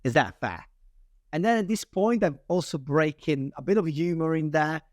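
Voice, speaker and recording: A man with an Italian accent, a native Italian speaker, explaining sales calls as a guest in a casual interview, animated and quick with many restarts. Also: on the high side, no echo, studio quality. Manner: animated, talks fast with frequent restarts.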